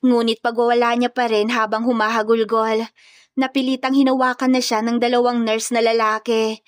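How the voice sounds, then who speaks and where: A female storyteller narrates a scripted romance drama. Her voice is fairly high, and the recording is close and dry. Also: no echo.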